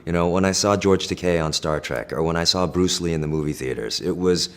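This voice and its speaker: A middle-aged man, a native Korean speaker raised in the United States, giving thoughtful, measured interview answers with frequent fillers and restarts. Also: low voice. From Korea, raised in Pennsylvania.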